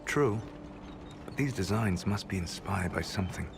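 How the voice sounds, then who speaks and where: A man's voice: low voice, animated, moderate pace, creaky, over loud music. An actor playing a curious, erudite male mage in a fantasy video game, musing as he talks. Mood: musing, fascinated. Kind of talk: video game cutscene acting.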